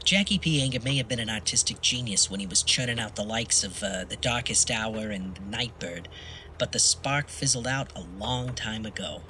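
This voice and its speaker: A man acts a brash, wisecracking forties newspaper critic in a noir radio play. His voice is sharp and very lively in pitch, smug and needling, over faint background noise. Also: moderate pace. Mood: brash, dismissive. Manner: sneering, slangy, needling. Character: cynical, smug, wisecracking male 1940s film critic. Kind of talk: film noir audio drama acting.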